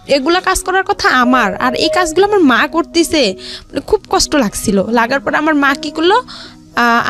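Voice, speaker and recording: Female, Bangladeshi accent, very high voice, rambling personal storyteller, faint music underneath. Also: fairly bright tone. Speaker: guest telling their life story.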